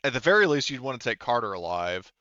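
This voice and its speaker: A man's voice: mid-range voice, sing-song, quick pace, bright, forceful tone, no room sound, lo-fi sound. A man casually running a tabletop game as game master, explaining rules in a hedging, explanatory way.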